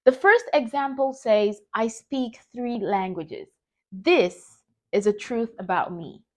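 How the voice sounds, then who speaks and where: A young adult woman whose first language is Yoruba, teaching a beginner English grammar lesson slowly and carefully. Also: a little high. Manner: slow, careful, reads example sentences deliberately.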